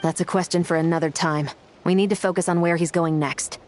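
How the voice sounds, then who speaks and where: A woman's voice: on the low side, fairly even intonation, brisk, darkish tone, slight background noise, lightly acted. A woman playing a businesslike, decisive law officer in a video game, with a matter-of-fact manner. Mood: determined. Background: music.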